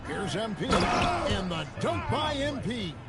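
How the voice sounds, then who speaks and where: High-pitched male basketball play-by-play announcer. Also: sing-song, slow pace, heavy background noise, full character voice. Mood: excited. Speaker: play-by-play commentator. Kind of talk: sports play-by-play commentary. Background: music, bouncing basketball, dunks.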